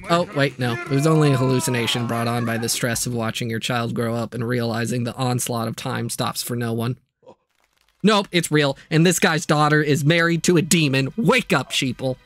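A man's voice: mid-range voice, fairly even intonation, moderate pace, a bit bright. A man delivering a casual, comedic scripted video review, with jokey asides and playful exaggeration.

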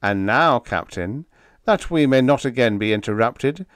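Male narrator as mocking small secret agent for a space-adventure audiobook: pompous, pettish, very animated delivery. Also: mid-range voice, measured pace, clear, resonant tone, dry recording, voice pushed up. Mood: smug, commanding. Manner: pompous, mock-polite, pettish.

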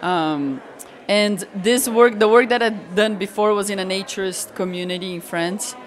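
Thoughtful woman photographer in a gallery. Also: mid-range voice. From Brazil. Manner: thoughtful, searching for words with pauses. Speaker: photographer being interviewed. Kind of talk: gallery interview. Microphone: handheld mic held by interviewer.

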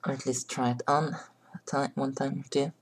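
A hoarse young man chatting casually to his webcam in a small bedroom, rambling and clearing his throat. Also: mid-range voice, little pitch movement, moderate pace, slight background noise. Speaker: vlogger. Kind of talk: casual makeup vlog chat. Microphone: webcam close up.

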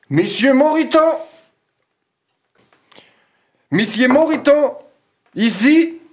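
A man's voice: extremely high pitch, husky, full character voice. A man playing a courteous, concerned investigator with a hesitant, formally polite way of talking in an audio drama. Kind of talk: improvised pen-and-paper audio drama acting.

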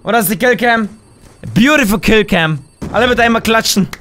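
A young man commentating his own gameplay on a headset mic, excitable and quick to shout, a little hoarse and tired. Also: high voice, a little hiss or hum. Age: young adult. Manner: excitable, exclaims and shouts at the game. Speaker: gaming YouTuber. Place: home gaming room, indoors.

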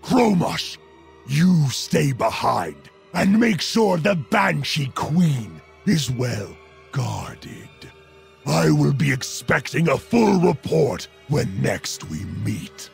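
A man's voice: on the high side, very animated, slow, creaky, full character voice. An actor playing a stern, angry orc warchief in a video game, contemptuous and declamatory, threatening as he speaks. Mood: menacing. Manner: menacing, declamatory. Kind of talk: dramatic video game acting. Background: music.

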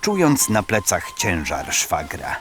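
Male narrator, low pitch, expressive swings, scripted dramatic storytelling, measured delivery, music behind. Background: background music.

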